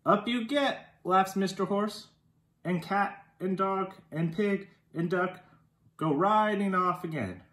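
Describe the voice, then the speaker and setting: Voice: medium pitch, slow, a bit bright. A storytime host reading a children's picture book aloud, acting out the dialogue expressively. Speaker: storytime reader. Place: living room. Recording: roomy indoor echo.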